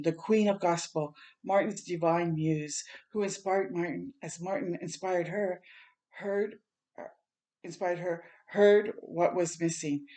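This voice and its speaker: A woman's voice: fairly low pitch, a little mellow. A woman reading a picture book aloud for children as a storytime host, in a dramatic, heartfelt and emphatic manner.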